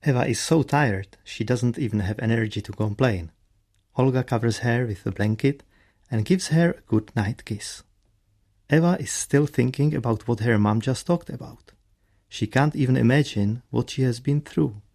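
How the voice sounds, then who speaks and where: Guy narrating a radio play from a script, normal mid pitch. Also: normal speed, darkish tone.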